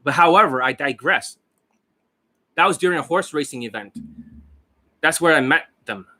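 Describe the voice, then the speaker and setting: Hoarse, brash man losing his voice. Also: on the high side, animated, moderate pace, sharp tone. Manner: brash, profane, boastful, rambling with coughs. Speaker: dating coach. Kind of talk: casual monologue over a screen share. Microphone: desk microphone.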